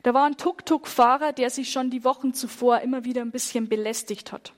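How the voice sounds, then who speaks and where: A woman speaking in a church, telling moving stories with an earnest tone and dropping to a hush at the gravest moments. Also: high voice, steady tone, brisk. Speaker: church speaker on persecuted Christians. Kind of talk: sermon-style testimony.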